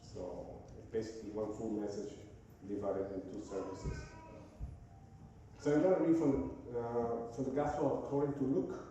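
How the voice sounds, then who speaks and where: A man with a Venezuelan Spanish accent, preaching as a guest speaker in a church, pausing often to search for his words. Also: mid-range voice, fairly even intonation, dark tone, slight background noise. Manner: halting, searching for words, frequent "uh" and restarts. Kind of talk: sermon. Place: church sanctuary. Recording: echoey hall from far off.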